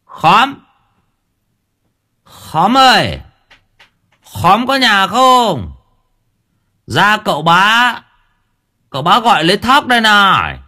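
A man voicing swaggering male servants shouting loudly at a villager's door. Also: sits high, very animated, slow pace, pauses often, pitched above the performer's own voice, heavily acted. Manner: loud calling, swaggering.

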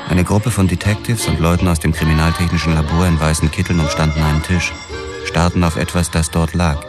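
Noir radio play lead: a tough detective telling his story, bottom-of-the-range bass, music underneath. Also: fairly even intonation, brisk. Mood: terse, matter-of-fact. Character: hard-boiled male police detective narrating his story. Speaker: narrator. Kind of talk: first-person noir radio drama narration.

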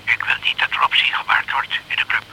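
A man's voice: fast, natural voice. An actor playing a formal, guarded male police chief in a radio crime drama. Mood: guarded, concerned. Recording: noisy old radio play recording.

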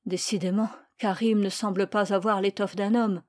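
A woman's voice: mid-range voice, steady tone, moderate pace, close to an everyday voice. Woman reading as a stern, flustered father. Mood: troubled. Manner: firm, insistent, lecturing. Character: kind but stern father, growing flustered.

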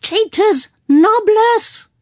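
Woman doing a shrill, outraged middle-aged man. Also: lively intonation, very slow, dry recording, studio quality, exaggerated voice. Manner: shouting in English.

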